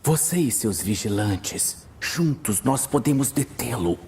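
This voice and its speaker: A man playing a weary, earnest male archmage, speaking in a hushed, measured, urgent way in a fantasy audio drama. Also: mid-range voice, lively intonation, husky, full character voice. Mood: urgent pleading. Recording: music bed behind voice.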